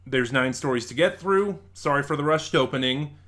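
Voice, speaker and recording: A man's voice: on the high side, moderate pace, fairly bright tone. Casual American man giving opinionated reviews. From Nevada, United States. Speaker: host of a review channel. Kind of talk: casual opinionated ranking commentary.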